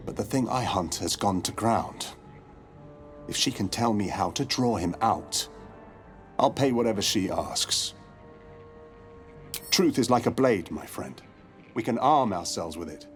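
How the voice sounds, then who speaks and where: Deep-voiced, grave wandering monster hunter. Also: male voice. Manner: measured, formal, ominous. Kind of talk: dramatic video game dialogue acting.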